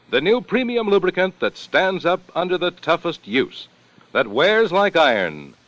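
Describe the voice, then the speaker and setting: A man's voice: on the high side, a bit bright. A man working as a radio announcer, reading scripted ads and credits in a polished, formal 1950s style. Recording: dull 1950 radio recording, music behind.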